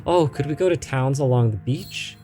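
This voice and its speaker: A man's voice: mid-range voice, moderate pace, warm tone, soft background music, voice pushed up, lightly acted. A man voicing a wistful young woman in a game flashback, earnest and full of yearning. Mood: eager wonder.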